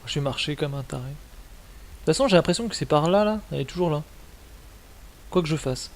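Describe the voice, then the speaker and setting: A creaky-voiced man streams video games with casual commentary. His voice sits a little high for a man and moves around a lot, in informal, slangy, mildly sweary talk. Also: lively intonation, fairly quick. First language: French.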